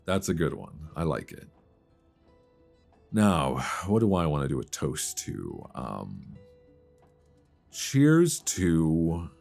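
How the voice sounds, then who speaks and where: A very deep, creaky-voiced young man played by a male actor confides like a homesick old friend hiding a crush. His delivery is hesitant yet expressive, with plenty of movement in the pitch. It is an intimate romantic audio roleplay, captured close on a binaural mic. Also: heavily acted. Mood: playful, warm. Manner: hesitant, confiding, conversational with sighs. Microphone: close binaural microphone. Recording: close binaural recording with soft guitar music.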